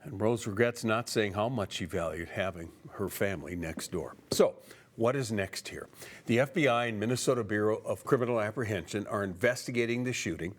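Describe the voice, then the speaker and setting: A man's voice: on the low side, a little fast. A man with an American accent reading scripted broadcast news as an anchor.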